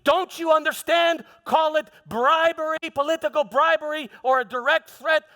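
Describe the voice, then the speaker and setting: Slow, high-pitched, proud Roman governor acted by a man. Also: bright, forceful tone, exaggerated voice. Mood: anguished, forceful. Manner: impassioned, halting, dramatic, with stammered repeats. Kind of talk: theatrical church monologue. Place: large church hall. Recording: reverberant hall with music.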